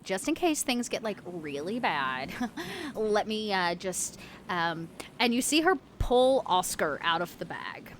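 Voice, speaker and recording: A woman's voice: medium pitch, sing-song, takes it slowly, a little hiss or hum. A woman narrating her character's actions casually as a player in a tabletop game.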